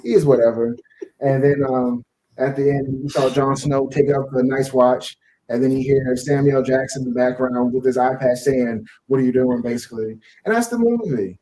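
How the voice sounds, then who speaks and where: A man's voice: medium pitch, normal speed, mellow, poor audio. A man co-hosting a casual movie review, talking in an offhand, slangy, irreverent way. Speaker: co-host of a movie review show. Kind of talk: casual panel chat.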